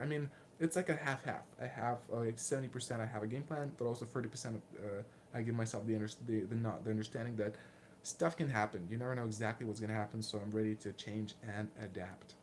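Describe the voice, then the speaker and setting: A man in his late twenties with a Lithuanian accent, casually answering viewer questions in a candid, self-deprecating, halting way. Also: mid-range voice, steady tone, darkish tone, slight background noise. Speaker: martial arts vlogger and fighter.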